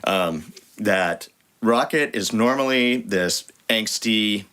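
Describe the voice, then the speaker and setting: A man who is a voice actor, chatting in an interview in an animated, joking way with many restarts. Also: on the low side, takes it slowly, smooth. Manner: animated and jokey, with frequent restarts. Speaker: voice actor guest. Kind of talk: casual interview chat.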